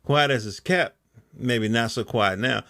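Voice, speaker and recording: A man's voice: medium pitch, measured pace, bright, forceful tone, no room sound, clean studio sound. A middle-aged American man hosting a podcast, talking casually in a rambling, colloquial monologue and often checking in with the listener. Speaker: podcast host.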